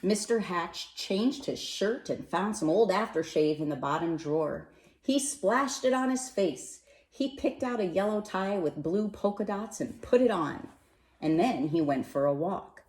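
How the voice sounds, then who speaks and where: A woman reading a children's picture book aloud in an expressive, animated way, with dramatic pauses and emphasis. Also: lowish voice. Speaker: storybook reader. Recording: roomy, echoey sound.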